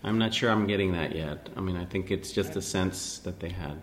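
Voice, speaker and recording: Male interviewer, lowish voice, meandering questions, faint background noise. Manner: rambling, halting questions with many restarts. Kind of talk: oral history interview.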